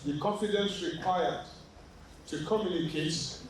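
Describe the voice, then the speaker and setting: A man presenting a play in an opening address, measured and deliberate, recorded at a distance in an echoey hall. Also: a little high, slight background noise. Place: large indoor hall.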